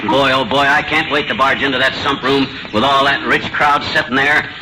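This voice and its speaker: A man's voice: mid-range voice, fairly even intonation, moderate pace, natural voice. A man playing a jovial, blustery middle-aged husband in old radio comedy, full of folksy slangy banter. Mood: boastful, excited. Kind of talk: 1940s radio comedy acting. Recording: noisy old radio broadcast recording.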